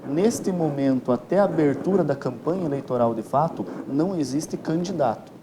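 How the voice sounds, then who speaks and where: A man's voice: on the high side, expressive, normal speed. A Brazilian man giving formal, explanatory answers as an expert interview guest, with precise, legalistic wording. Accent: Brazilian Portuguese. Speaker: interview guest, electoral lawyer. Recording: clear ambient background noise.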